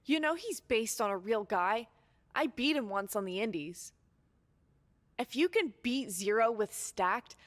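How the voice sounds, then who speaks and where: A woman playing a sardonic, unimpressed wrestler co-host in a video game, with dry, teasing delivery. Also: a little high. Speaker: wrestler co-hosting a show. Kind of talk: scripted video game cutscene acting.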